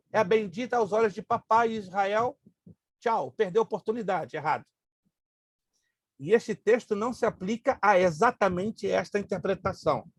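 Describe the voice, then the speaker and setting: High-pitched, husky pastor teaching a class. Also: male voice. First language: Hebrew. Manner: emphatic, drills grammar terms slowly, joking asides. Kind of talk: classroom Bible lesson.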